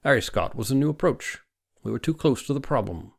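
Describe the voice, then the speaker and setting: A man's voice: expressive, brisk, creaky. A man voicing a huge, domineering older doctor in an audiobook, heavy-voiced, emphatic and insistent. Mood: intense, insistent. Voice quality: heavy, booming. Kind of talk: audiobook character dialogue.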